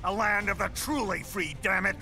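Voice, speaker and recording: A ranting villain senator. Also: male voice, high-pitched, normal speed. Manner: bombastic shouting speech with heavy profanity. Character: brawny middle-aged male senator villain, ranting and fanatical. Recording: game audio with loud music behind.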